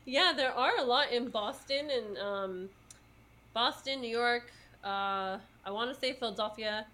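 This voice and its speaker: Lady rambling on a podcast, lots of ums, pretty high voice. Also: unhurried, sharp tone. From United States. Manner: rambling, many fillers and laughs. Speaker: podcast co-host. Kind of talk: casual chat.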